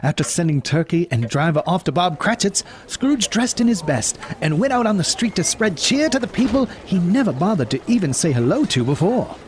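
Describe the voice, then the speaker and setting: A man's voice: high voice, sing-song, brisk, few pauses. A man narrating a scripted audio drama as a storyteller.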